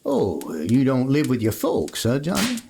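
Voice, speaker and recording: A man's voice: mid-range voice, wildly swooping pitch, unhurried, gravelly, darkish tone, a little hiss or hum, exaggerated voice. A man playing an easygoing, kindly Texas cowboy with a hesitant, rambling folksy manner in a Western radio play. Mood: gently concerned. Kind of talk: old-time Western radio play acting. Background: plucked guitar music.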